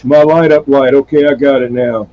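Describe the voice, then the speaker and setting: A man's voice: mid-range voice, steady tone, moderate pace, dark tone, soft background music. A man in casual, rambling, folksy conversation, hosting a CB radio live stream. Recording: dull, lo-fi CB radio sound.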